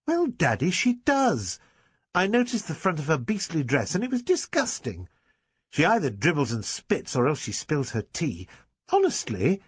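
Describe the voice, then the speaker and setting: A man's voice: on the high side, wildly swooping pitch, moderate pace, breathy edge, dry recording, pitched above the performer's own voice, full character voice. A man reading aloud and voicing Dinah, a spirited young woman who is defiant and dramatic, in a raised, emphatic voice. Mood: disgusted.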